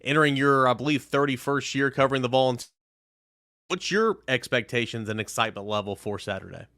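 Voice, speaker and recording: A man's voice: medium pitch, a little fast, pushed and bright, dry recording, clean studio sound. A man hosting a sports podcast, talking conversationally as he introduces segments and puts questions to a guest. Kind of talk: conversational show intro and interviewing.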